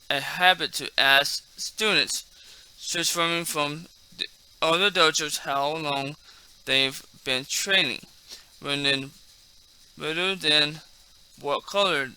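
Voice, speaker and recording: A man hosting a martial arts video in a casual, halting way, repeating and stumbling over words, with a slight speech impairment. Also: fairly high pitch, bright, forceful tone, smooth, low-quality recording. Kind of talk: casual talk mixed with halting reading aloud from a text.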